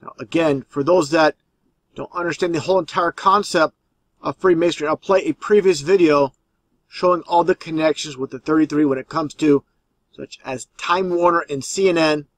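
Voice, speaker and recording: A man hosts a commentary on video clips in a slightly high-set voice. The sound is dry, with little room to it. He explains insistently and circles back to repeat his points. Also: normal speed, no room sound.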